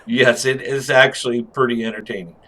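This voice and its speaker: A man's voice: mid-range voice, steady tone, a little fast, sharp tone. Rambling, slangy man reviewing game mods. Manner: rambling, slangy, chuckling delivery. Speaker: host of a game mod review. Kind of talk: casual unscripted game commentary.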